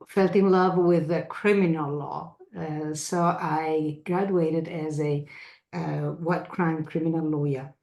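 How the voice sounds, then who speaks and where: A middle-aged woman from Brazil, a restructuring lawyer speaking on a video call panel, talking haltingly with many fillers and restarts. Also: deep voice, vocal fry, warm tone, roomy sound, lo-fi sound.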